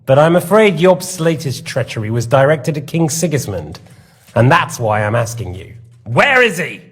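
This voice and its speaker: A man's voice: medium pitch, very animated, moderate pace, husky. A villainous nobleman in a dramatic game scene. He taunts with false politeness and smug menace, his mid-range voice swinging expressively as he toys with his captives. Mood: mock-polite. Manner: mocking, theatrical, mock-polite menace. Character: sadistic, smug villainous lord interrogating prisoners.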